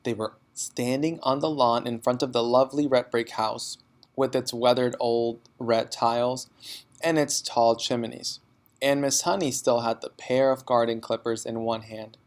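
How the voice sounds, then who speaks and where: A man reads a children's story aloud in a medium-pitched voice. He delivers it as scripted narration, in the role of storybook reader. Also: steady tone, measured pace.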